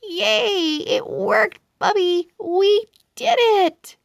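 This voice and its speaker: A woman voicing an excitable, affectionate young girl inventor in a children's storybook, chirpy and exclaiming. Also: extremely high pitch, very slow, vocal fry, no echo, clean studio sound, pitched above the performer's own voice, heavily acted. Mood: triumphant.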